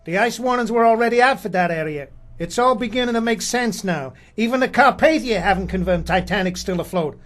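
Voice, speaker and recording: A man's voice: high voice, lively intonation, brisk, few pauses, a bit bright. A man playing an earnest, confident newspaper editor in a radio play, insistent but deferring to his boss.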